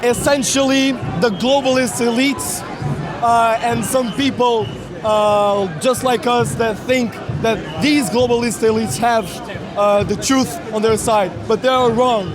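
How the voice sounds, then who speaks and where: Very high-pitched, halting man. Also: steady tone, music in the background. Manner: hesitant, halting delivery. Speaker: protester being interviewed. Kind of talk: street interview. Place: outdoors on a street at a protest. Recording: outdoor street with crowd behind. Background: crowd noise.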